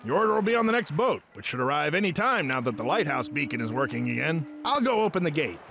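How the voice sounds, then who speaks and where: A man voicing a folksy old fish who clerks at a pier in a cartoon video game, with creaky salesman patter. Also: on the high side, very animated, quick pace, bright, forceful tone, exaggerated voice. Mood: upbeat. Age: older. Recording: clearly noisy game audio.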